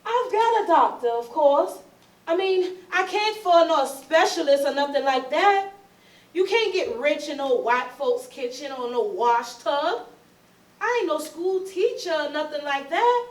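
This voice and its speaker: A woman's voice: high-pitched, animated, a little slow. An actress playing a stubborn, suspicious mother in a staged reading, speaking folksy Southern dialect. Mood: defensive. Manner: folksy, colloquial, wavering between coaxing and fury. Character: Emma, stubborn, suspicious mother who stalls and rages. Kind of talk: staged readers theatre, Southern period dialect. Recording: echoey, distant, noisy stage recording.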